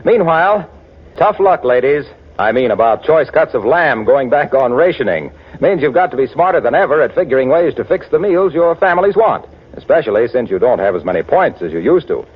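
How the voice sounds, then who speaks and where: A man's voice: mid-range voice, sing-song, fairly quick, husky. A man announcing on 1940s radio, reading scripted copy in a folksy, emphatic salesman's manner. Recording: muffled 1940s radio recording.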